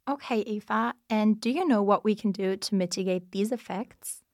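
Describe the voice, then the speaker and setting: A woman's voice: mid-range voice, normal speed, darkish tone, no room sound, studio quality. A nineteen-year-old woman hosting a podcast, reading a scripted intro in a polished, upbeat way and moderating. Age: teens. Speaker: podcast host.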